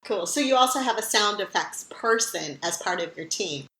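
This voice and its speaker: A woman's voice: medium pitch, expressive, normal speed, pushed and bright, rough recording. A woman hosting a podcast, talking to guest and listeners in a conversational style. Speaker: podcast host. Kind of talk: conversational interviewing and sign-off.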